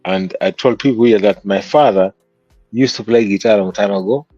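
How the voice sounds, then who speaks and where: A man's voice: on the low side, brisk, mellow, no echo, muffled recording, faint music behind. A man with a Kenyan accent, a guest musician telling stories from his childhood in an interview. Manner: reflective, storytelling. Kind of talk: interview conversation.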